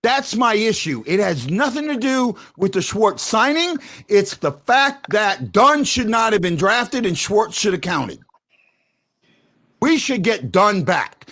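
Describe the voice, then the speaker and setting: A man hosting a fan talk show, loud and excitable, rambling and restarting his sentences as he argues his point. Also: high-pitched, slow pace. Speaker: podcast host. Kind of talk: heated hockey fan call-in talk. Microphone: headphones.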